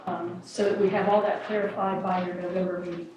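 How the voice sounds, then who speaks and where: A woman on the city staff giving a measured, procedural board report at a council meeting. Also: on the low side, little pitch movement, distant mic, muffled recording, noisy background. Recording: echoey council chamber.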